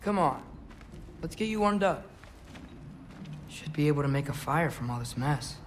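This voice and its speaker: High, expressive teenage boy adventurer, acted by a man. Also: moderate pace, a little hiss or hum, natural voice. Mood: subdued, musing. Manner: expressive, talks to himself, earnest. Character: anxious, caring teenage boy adventurer in Norse fantasy. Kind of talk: video game cutscene acting. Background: game music.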